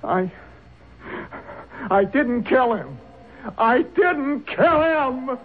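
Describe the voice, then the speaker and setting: A man's voice: extremely high pitch, sing-song, very slow, soft background music, full character voice. An actor playing a timid, self-loathing young man cowed by his father, hesitant and deferential, in a radio drama. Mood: desperate denial. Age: young adult. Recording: tinny, noisy old radio broadcast.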